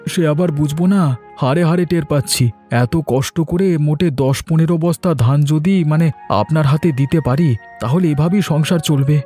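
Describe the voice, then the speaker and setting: A man's voice: a little high, brisk, dark tone, soft background music, lightly acted. A man voicing a mild, resigned village farmer and husband in a cartoon story, speaking plaintively and conversationally. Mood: earnest. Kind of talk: Bengali cartoon story voice acting.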